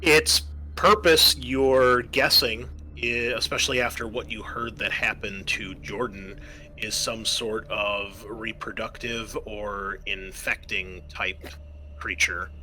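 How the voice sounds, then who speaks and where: A man running a tabletop roleplaying game as game master, explaining rules and narrating with halting pauses mid-sentence. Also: lowish voice, steady tone, pushed and bright, a little hiss or hum, poor audio.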